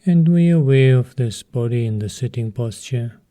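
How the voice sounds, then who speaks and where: A man leading a guided meditation as a teacher, speaking calmly and in short, measured phrases with long pauses. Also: mid-range voice.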